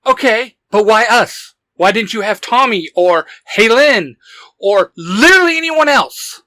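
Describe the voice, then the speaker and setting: A woman voicing a sarcastic, distrustful, hot-headed male team leader in a dramatic audiobook, loud and sneering. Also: a little high, animated, a little slow, no echo. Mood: indignant. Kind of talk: dramatic audiobook character acting.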